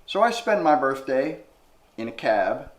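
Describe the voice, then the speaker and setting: A man's voice: mid-range voice, sing-song, a little slow. A man acting a middle-aged, wounded brother confronting a betrayal in a screen drama. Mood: bitter, restrained. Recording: roomy, with faint music underneath.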